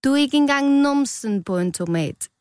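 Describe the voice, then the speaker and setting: Jeering pepperoni for a storybook, played by a woman: raised pitch, bossy, theatrical, close dry sound. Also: darkish tone, clean tone, no echo, lightly acted. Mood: mocking. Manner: scornful, theatrical. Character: bossy, jeering Italian pepperoni and tomato toppings.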